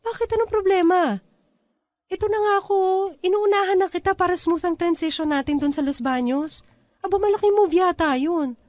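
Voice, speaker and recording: A woman's voice: very high voice, brisk, a little mellow, dry recording. A woman playing an eager, clinging teacher in love in a radio drama, chatty and insistent. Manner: chatty, insistent, planning aloud.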